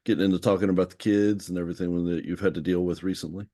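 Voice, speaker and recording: A man's voice: low voice, steady tone, rapid-fire, warm tone. A man hosting a casual podcast interview, introducing his guest and asking easygoing questions. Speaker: podcast host.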